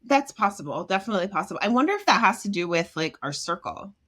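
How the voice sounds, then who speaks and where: A woman from Jamaica, a self-esteem expert, talking as a podcast guest in an expressive way, acting out quoted voices and laughing often. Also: medium pitch, fast talker. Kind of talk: animated podcast conversation.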